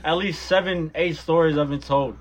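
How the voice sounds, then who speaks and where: A man's voice: a little high, fairly even intonation, normal speed. Laughing man, crowd behind. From Australia. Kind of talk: casual banter.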